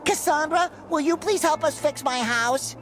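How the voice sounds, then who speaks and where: A man voicing an eager, childlike, naive talking sea sponge in an animated game cutscene, earnest and excitable. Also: extremely high pitch, moderate pace, faint music behind. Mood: eager pleading.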